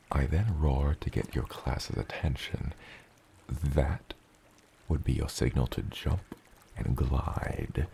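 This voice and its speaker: A man voicing a huge, gentle father dragon in an ASMR roleplay, with a gravelly, breathy rumble and tender, eloquent phrasing. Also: heavily acted. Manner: slow, tender, eloquent, slightly old-fashioned wording.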